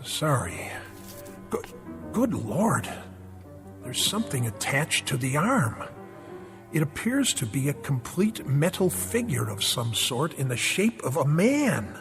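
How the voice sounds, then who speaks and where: An inquisitive male investigator muses aloud in an unhurried voice that rises and falls freely. His lines ring with a long reverb over a prominent music score in this radio play. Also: fairly high pitch, sing-song, exaggerated voice. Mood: curious. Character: curious male investigator of a dead man's estate. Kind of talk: radio drama acting.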